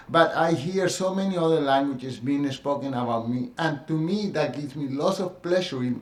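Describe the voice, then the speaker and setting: A middle-aged man with a Spanish accent speaks in an earnest, reflective monologue, pausing on fillers and restarting his sentences. His voice sits a little high for a man. The room around him is echoey. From Colombia. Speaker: dance teacher sharing his experience. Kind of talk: personal monologue.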